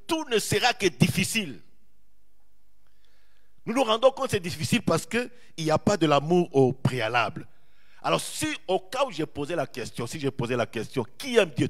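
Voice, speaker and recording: Animated preaching man, lively pitch. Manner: animated preaching, repeats phrases, draws out key words. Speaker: pastor. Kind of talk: sermon.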